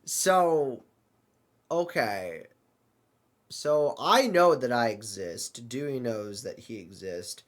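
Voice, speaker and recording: A man hosting an improvised comedy skit, talking in a halting, bemused manner. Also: mid-range voice, animated. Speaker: host of a comedy web series.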